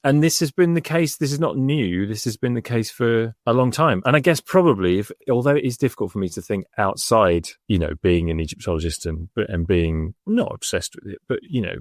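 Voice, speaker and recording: A man's voice: on the low side, animated, brisk, few pauses, no echo, clean studio sound. A middle-aged man who is an Egyptologist co-hosting a podcast, chatting in a rambling way with frequent restarts and easy laughter. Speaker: Egyptologist and co-host. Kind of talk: casual podcast chat.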